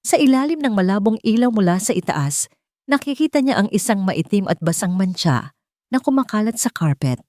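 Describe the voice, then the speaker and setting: A woman narrates a scripted romance story in short, suspenseful, deliberate phrases. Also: mid-range voice, lively intonation, darkish tone, dry recording, clean studio sound. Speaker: narrator of romance audio stories.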